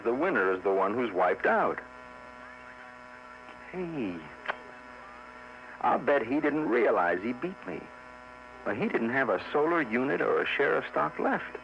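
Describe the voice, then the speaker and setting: An actor playing a shrewd, brisk spaceship captain in 1950s radio drama style. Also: male voice, mid-range voice, vocal fry. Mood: wry, musing. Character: spaceship captain, shrewd, brisk, smug board-game player. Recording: muffled 1950s radio recording with hum.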